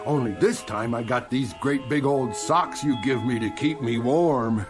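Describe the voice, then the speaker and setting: A man's voice: medium pitch, measured pace, music in the background, close to an everyday voice. An actor playing a cheerful, folksy old homeless man in a radio drama. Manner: folksy, drawling.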